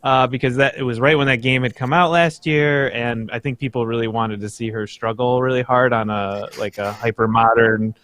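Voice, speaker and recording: A young man in casual chat on a livestream, rambling and halting with fillers. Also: medium pitch, steady tone, fairly bright tone. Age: young adult. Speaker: livestream co-host.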